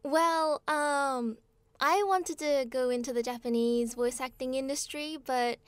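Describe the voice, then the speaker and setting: A woman's voice: high voice, measured pace, clean tone, dry recording. A young woman who grew up in Australia, a voice actor talking as a guest in a friendly, chatty way. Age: young adult. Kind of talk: casual interview chat.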